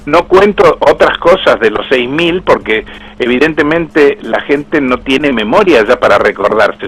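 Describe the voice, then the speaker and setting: Un hombre mayor argentino, entrevistado en un programa de radio, habla con voz algo ronca y ritmo conversado. Se corrige a menudo y relata sus trabajos con orgullo. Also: male voice, medium pitch, fairly bright tone, slightly rough, rough recording. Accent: Argentine Spanish. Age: older. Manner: storytelling, proud, with restarts. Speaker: voice actor interviewed. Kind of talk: anecdotal interview talk.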